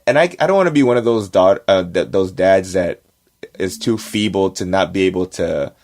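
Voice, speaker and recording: A man with a lowish voice talks fast and animated, speeding up in eager bursts. He tells a casual story full of fillers. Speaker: podcast co-host. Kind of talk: casual chat.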